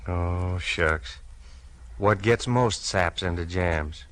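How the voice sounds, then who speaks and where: A man's voice: sits low, very slow, fairly bright tone, heavily acted. A man with an American accent acting a folksy, resigned crooked policeman in a 1940s radio crime drama, talking in rueful slang. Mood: rueful, confessing.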